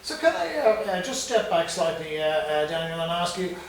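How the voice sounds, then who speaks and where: A man's voice: high voice, very animated, moderate pace, vocal fry, a little hiss or hum. A man interviewing a guest, with many fillers and hesitations. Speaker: interviewer, host. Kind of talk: conversational interview. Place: museum room. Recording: echoey room, from a distance.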